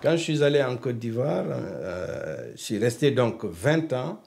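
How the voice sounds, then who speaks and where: An older man from Guinea, a doctor, recounting his exile in a measured, reflective way. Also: mid-range voice, very animated, creaky, a little mellow, a little hiss or hum. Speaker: doctor, interviewee. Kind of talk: testimony.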